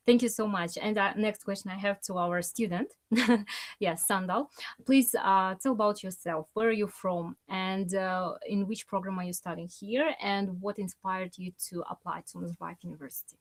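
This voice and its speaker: A woman's voice: mid-range voice, steady tone, normal speed. Hesitant woman hosting an online event. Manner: hesitant, with many "uh" fillers and polite thanks. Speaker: open day host. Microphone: video call.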